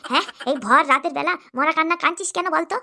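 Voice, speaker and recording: A woman's voice: sits high, fast, background noise. A woman voicing a bossy eldest wife in a cartoon who nags and groans about her aches. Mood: scolding. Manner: complaining, nagging, dramatic groaning. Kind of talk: cartoon voice acting.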